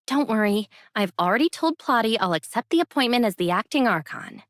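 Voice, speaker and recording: A woman acting as a young heroine from an anime game. Her voice sits a bit high and is closely recorded. She talks casually and kindly, with gentle teasing. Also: expressive, a little fast, no echo, studio quality, lightly acted. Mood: friendly. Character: easygoing young heroine, friendly and gently wry.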